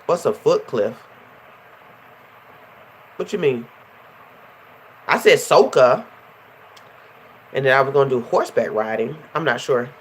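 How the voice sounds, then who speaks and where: Young woman, stuffy and nasal, a touch hoarse; chatty, animated livestream host addressing viewers. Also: rumbling low, measured pace, pauses often, a little hiss or hum, poor audio. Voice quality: slightly hoarse. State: stuffy and nasal from a runny nose. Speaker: life coach hosting a livestream. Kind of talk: casual livestream chat.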